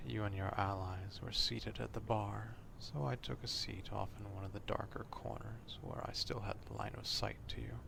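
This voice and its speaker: A man voicing an obsessive, courtly vampire stalker in an ASMR roleplay, speaking formally and deliberately in a confiding, half-whispered way. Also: fairly low pitch, gravelly, background noise. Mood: measured, watchful.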